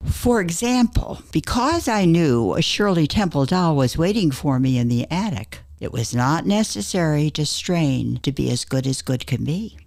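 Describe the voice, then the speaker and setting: A woman's voice: low voice, animated, normal speed, gravelly, few pauses, a little mellow. An older woman reading her own personal essay aloud as a scripted story.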